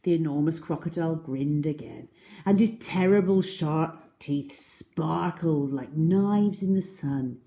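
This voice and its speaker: A woman reading to children like a primary teacher, expressive, with deliberate emphasis. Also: low voice, reverberant. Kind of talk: children's storytelling, expressive narration.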